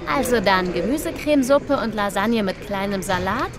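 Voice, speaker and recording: A woman playing a busy, brisk restaurant waitress in a scripted language-lesson dialogue. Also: mid-range voice, lively intonation, a crowd in the background, lightly acted. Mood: businesslike. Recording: clear noise, clinking dishes.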